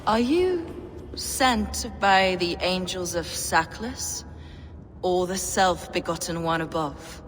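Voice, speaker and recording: Heretic holy woman, lowish voice, eerie, devout, incantatory, cavernous reverb, game cutscene. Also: slow pace. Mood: rapturous. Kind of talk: video game cutscene dialogue. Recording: long cavernous reverb, loud music behind.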